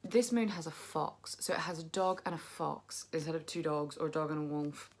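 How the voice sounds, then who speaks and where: A low-pitched young woman with a croaky, gravelly edge from tiredness. She rambles casually to camera and laughs at her own over-explaining. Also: moderate pace, a little hiss or hum. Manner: chatty, self-deprecating, rambling. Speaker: tarot vlogger.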